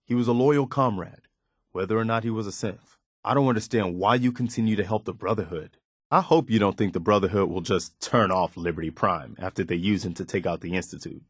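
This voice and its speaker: An idealistic, principled militia man as a male actor plays him for a video game. His voice sits on the low side, with an earnest, plain-spoken delivery that stays conversational. The sound is very dry and close, with no room to it. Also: no echo, close to an everyday voice. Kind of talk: video game companion dialogue, naturalistic acting.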